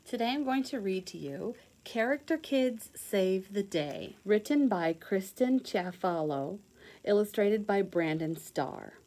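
Woman, teacher, storybook read-aloud for children, mellow tone, lively swooping pitch, sing-song emphasis. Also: unhurried. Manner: expressive, sing-song rhymed reading with clear emphasis. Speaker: teacher reading aloud to kids.

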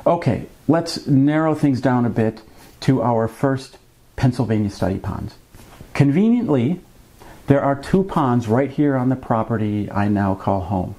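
A man in his fifties hosting a fishing vlog, explaining things to camera in a conversational, measured way with wry asides. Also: mid-range voice, animated, dark tone. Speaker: fishing channel host. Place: small indoor room at a desk with a computer.

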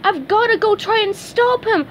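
A woman's voice: very high voice, lively intonation, normal speed, slight background noise, voice pushed up. A woman voicing an eager, apologetic young male sea sponge in a cartoon storybook. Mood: alarmed, guilty.

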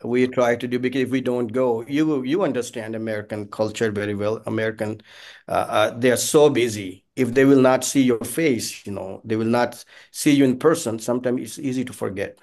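Middle-aged Pakistani man, halting English. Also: mid-range voice, darkish tone. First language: Punjabi. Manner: halting, non-native phrasing. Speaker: pastor, interview guest. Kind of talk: casual conversational interview. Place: small indoor office, on a video call. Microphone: video call.

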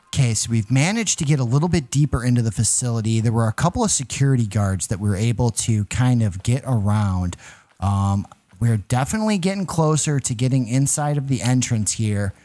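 A man's voice: mid-range voice, a little mellow. A man hosting a gaming video, giving casual gameplay commentary with some light roleplay storytelling in an informal, hyped but hesitant way. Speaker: gaming channel host.

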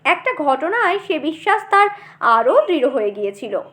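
A woman's voice: high voice, big pitch swings, moderate pace, a bit bright. A woman narrating a humorous short story expressively, as an audiobook storyteller.

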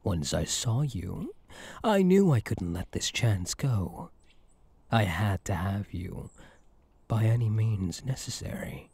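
A man playing a possessive yet tender elf hunter in an intimate ASMR roleplay, speaking in a coaxing, soothing and intense way with a husky voice. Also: fairly low pitch, lively intonation, slow, darkish tone, no room sound, full character voice. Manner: coaxing, soothing, intense, often dropping to a whisper.

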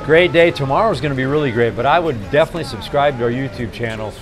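Man, fifties, American accent, mid-range voice, relaxed casual vlog chat, music in background. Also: mid-range voice, quick pace. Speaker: motorcycle travel vlog host. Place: restaurant table and rainy downtown street at night.

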